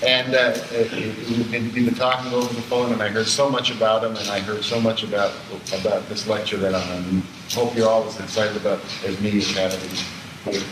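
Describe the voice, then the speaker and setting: Male event host, halting informal introduction, picked up from a distance with clear background noise. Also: on the low side, level tone. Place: museum lecture room. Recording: echoey room, distant mic, noisy background.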